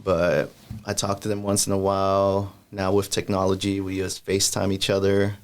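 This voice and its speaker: A young man from El Salvador, a guest answering interview questions in a plain, measured, somewhat hesitant way. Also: sits low, monotone.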